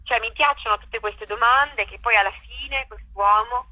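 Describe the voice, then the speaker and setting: A woman speaks with a very bright, crisp tone, recorded dry and close. She talks thoughtfully about her work, restarting phrases as she hunts for the right word. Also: fairly high pitch, unhurried, dry recording, low-quality recording. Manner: reflective, searching for words with many restarts. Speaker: theatre director and actress. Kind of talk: conversational interview.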